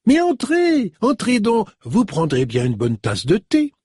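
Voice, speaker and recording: A man voicing a lively, welcoming woman in her fifties in an audiobook. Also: high voice, measured pace, breathy edge, dry recording, studio quality, voice pushed up, full character voice. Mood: effusive. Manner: effusive, welcoming.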